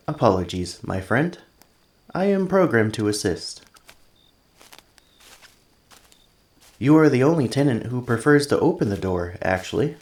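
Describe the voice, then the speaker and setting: A man's voice: mid-range voice, a little mellow, roomy sound. A man playing a polite, earnest android assistant in an ASMR roleplay, speaking formally and precisely through a robotic voice effect. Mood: apologetic, reassuring. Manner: formal, precise, literal-minded phrasing. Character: polite, earnest male android tenant assistant, gentle and curious. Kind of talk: ASMR roleplay, scripted one-sided conversation.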